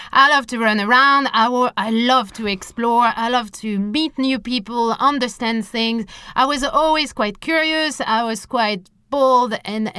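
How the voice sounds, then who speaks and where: A woman speaks. Her voice is bright and fairly high, and she explains things emphatically, piling up lists. The sound is clean and dry. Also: clean tone, no echo. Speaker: interview guest, scientist and science outreach organiser. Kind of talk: enthusiastic interview explanation.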